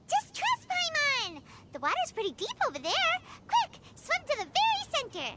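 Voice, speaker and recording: A woman voicing a tiny, excitable, whiny childlike fairy companion in a video game, squeaky and exclamatory. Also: moderate pace, noisy background, exaggerated voice. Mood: indignant urging. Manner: talks about self in third person, exclamatory. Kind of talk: video game character acting.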